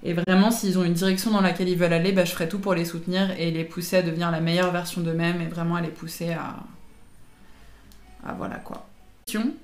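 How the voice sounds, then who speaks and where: A woman's voice: on the low side, level tone, fast talker, roomy sound. A young adult woman chatting casually with her livestream viewers in informal, slangy, teasing speech. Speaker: live streamer chatting with viewers.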